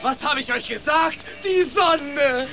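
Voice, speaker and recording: A man playing a bold, cheeky young rat with a bossy, excitable manner in a children's radio play. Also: extremely high pitch, takes it slowly, faint music behind, full character voice. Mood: excited.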